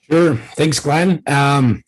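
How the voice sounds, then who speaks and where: A man's voice: a little high, extremely slow. Middle-aged male panelist, crackly strained voice, plain friendly intro, video call. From Canada. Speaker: security manager, guest panelist.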